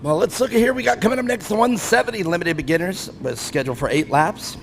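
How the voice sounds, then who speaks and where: A man's voice: high voice, fast talker, vocal fry, a little hiss or hum. A man in his fifties announcing an off-road race live from the grandstand, chatty and self-deprecating with frequent laughs. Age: 50s. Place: grandstand at an outdoor race track.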